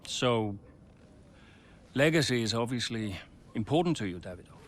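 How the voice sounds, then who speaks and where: An actor playing an earnest, persuasive racing team boss in a video game, with a reasoning, coaxing manner. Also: male voice, mid-range voice, expressive, normal speed, close to an everyday voice.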